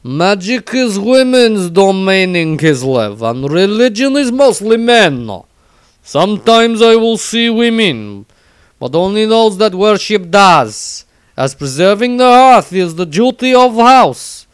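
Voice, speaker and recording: A man voicing a proud, suspicious warrior, reading his diary bluntly in clipped grammar with a smooth, clear tone. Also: high-pitched, big pitch swings, slow, no echo, pitched above the performer's own voice, heavily acted. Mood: blunt, scornful. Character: proud, blunt, suspicious northern male warrior from fantasy realm.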